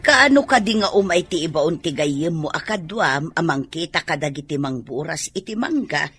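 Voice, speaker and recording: A woman's voice: deep voice, expressive, moderate pace, a bit bright, slightly rough, faint music behind, full character voice. An actress with an Ilocano accent playing a concerned middle-aged village mother resigned to custom in a radio drama. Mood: inquiring.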